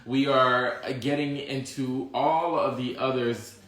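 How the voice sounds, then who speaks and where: A man co-hosting a casual online talk show about couples, laughing often and talking with drawn-out emphasis. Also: medium pitch, fairly even intonation, fairly bright tone, roomy sound, poor audio. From Miami. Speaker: co-host.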